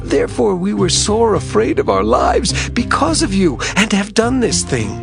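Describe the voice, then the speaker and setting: An actor playing a fearful, humble supplicant in a dramatized scripture reading, pleading theatrically with drawn-out words. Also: male voice, high-pitched, huge pitch swings, creaky, music in the background, full character voice. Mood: fearful, submissive.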